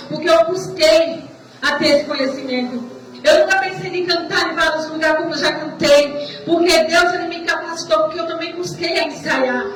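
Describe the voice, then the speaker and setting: High-pitched Brazilian woman preaching, heavy echo. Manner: earnest, repetitive, stammering delivery. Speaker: church deaconess preaching. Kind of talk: testimony sermon, exhortation. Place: church service indoors, distant microphone. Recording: echoey room, far mic, noisy.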